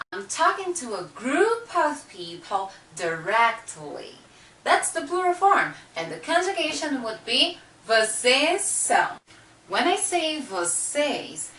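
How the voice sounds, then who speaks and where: A woman from Brazil with a Brazilian accent, patiently teaching a language lesson and repeating words slowly. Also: a little high, sharp tone. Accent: Brazilian Portuguese. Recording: echoey room, mic at a distance.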